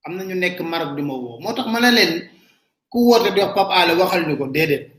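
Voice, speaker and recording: A man's voice: a little high, animated, quick pace, fairly bright tone, echoey room. A man with a Senegalese accent giving emphatic, casual live political commentary straight to his viewers. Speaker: online commentator.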